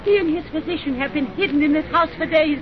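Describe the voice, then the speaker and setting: An actress playing a vengeful, insinuating bride with deliberate theatrical delivery in an old-time radio suspense melodrama. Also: female voice, crowd noise, natural voice. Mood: vengeful, frenzied. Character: cold, vengeful bride trapping her brother's tormentor. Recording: muffled, noisy vintage radio recording with rumble.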